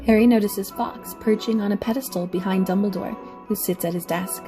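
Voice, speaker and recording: A woman's voice: medium pitch, steady tone, brisk, music in the background. A woman reading scripted story narration for an audio drama.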